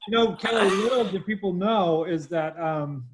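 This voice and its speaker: A man's voice: high voice, normal speed. Upbeat male livestream host. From Boston, USA. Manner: upbeat, chatty, addresses viewers directly. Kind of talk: livestream interview.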